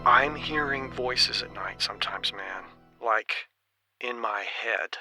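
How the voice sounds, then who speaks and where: A man's voice: medium pitch, slow pace, over music, close to an everyday voice. An actor playing a troubled, bewildered man calling a radio show, hesitant and uneasy, in an audio drama.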